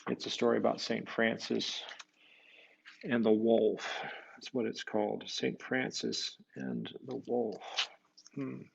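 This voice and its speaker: A man reading a children's picture book aloud as a priest, with musing, halting asides to his young listeners. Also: fairly low pitch, vocal fry, husky, dull audio. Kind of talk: storybook read-aloud with casual asides to children.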